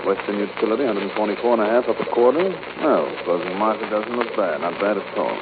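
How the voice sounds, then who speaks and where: A businesslike financier, played by a man, speaks in a low, clipped voice. The manner is matter-of-fact, in 1940s radio drama style. The recording is very muffled and noisy. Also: quick pace, natural voice. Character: businesslike male financier alone in his office.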